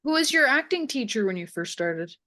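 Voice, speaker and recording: A woman's voice: fairly high pitch, sing-song, fairly quick, sharp tone. A woman hosting a casual interview, chatty, effusive and encouraging as she talks. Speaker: interviewer, magazine host.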